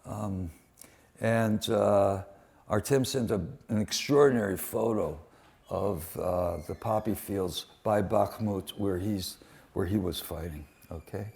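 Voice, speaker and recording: A man giving a dramatic live poetry reading, delivering short phrases deliberately with weighted pauses. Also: lowish voice, gravelly, darkish tone. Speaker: poet reading translated war poems at a poetry event.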